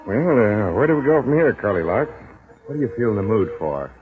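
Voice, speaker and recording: Low-voiced, swaggering big-shot racketeer. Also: male voice, big pitch swings, brisk, over music. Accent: American. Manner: slangy, cocky, flirtatious. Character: big, flashy, amorous big-spending racketeer. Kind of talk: 1940s radio drama acting. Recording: muffled 1940s radio recording.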